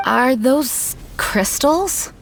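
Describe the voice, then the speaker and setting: A woman's voice: high voice, expressive, extremely slow, gravelly, exaggerated voice, rough recording. A woman playing a poised, responsible young female leader in an anime game, speaking in a composed, purposeful way. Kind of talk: anime video game voice acting. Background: music.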